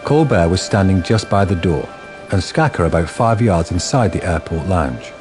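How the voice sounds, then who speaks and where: A man playing the narrator of a crime radio drama, delivering scripted story in a measured, deadpan manner. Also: low-pitched, music in the background.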